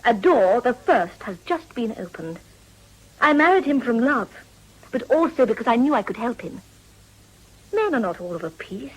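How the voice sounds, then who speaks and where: An actress playing a proud, determined young upper-class wife in a period radio drama, speaking formally and insistently. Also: female voice, a little high, big pitch swings, normal speed, long pauses, husky, poor audio. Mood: fervent. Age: young adult.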